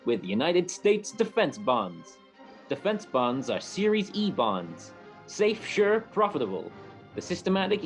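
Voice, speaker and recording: Man announcing an old-time radio advertisement. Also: fairly high pitch. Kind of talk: scripted 1940s radio public service ad.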